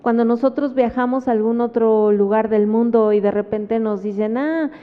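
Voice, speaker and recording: A woman with a Mexican Spanish accent gives an academic talk at a book presentation, pausing often and adding tag questions. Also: on the high side, steady tone. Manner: thoughtful, with frequent fillers and tag questions. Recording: phone-like sound with long hall reverb.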